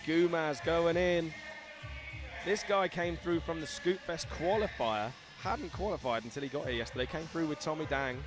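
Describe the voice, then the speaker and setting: A young man hosting live commentary at a scooter event, excitable and laughing, reading out viewer shout-outs. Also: a little high, moderate pace, over music.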